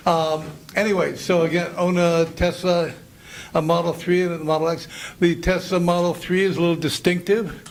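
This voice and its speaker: A man's voice: high voice, flat delivery, moderate pace, slight background noise. A man introducing himself at a meeting in an easygoing, joking way. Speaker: meeting attendee. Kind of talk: casual self-introduction. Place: meeting room.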